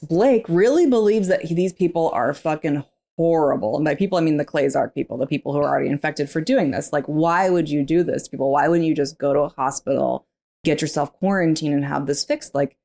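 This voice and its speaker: Low, gravelly, mellow-toned woman; candid podcast co-host who laughs easily. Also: fairly quick. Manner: laughs often, animated and candid. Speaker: former teacher. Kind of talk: casual book discussion.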